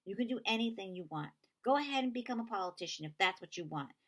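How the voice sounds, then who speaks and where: A woman reading a children's novel aloud, voicing a feisty, quick-tempered girl who is earnest and insistent with her friend. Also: medium pitch, expressive, pitched above the performer's own voice, lightly acted. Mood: pleading.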